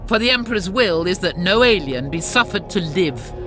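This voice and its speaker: A woman's voice: lowish voice, expressive, normal speed, natural voice. A woman playing a zealous, stern warrior sister in a game, speaking in solemn, pious, formal lines with music behind her. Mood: grave, zealous. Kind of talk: dramatic scripted game narration and dialogue.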